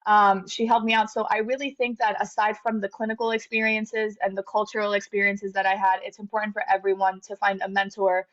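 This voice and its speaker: A woman's voice: medium pitch, little pitch movement, fairly quick, few pauses, a bit bright. A woman in her thirties, a guest in an interview, tells her story in an earnest, reflective way, with many fillers and restarts. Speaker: interview guest, medical graduate. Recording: slightly dull lo-fi audio.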